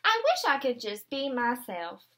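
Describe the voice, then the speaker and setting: A woman voicing a small, wistful chameleon in an expressive storybook reading. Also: high-pitched, a little slow, heavily acted. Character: small chameleon, wistful, wishing to be other animals.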